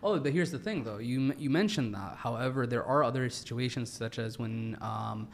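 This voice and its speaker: A man's voice: mid-range voice, normal speed, gravelly, slight background noise. Hesitant man explaining a viewpoint. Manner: explanatory, hesitant, many fillers. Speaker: panelist. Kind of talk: heated panel debate.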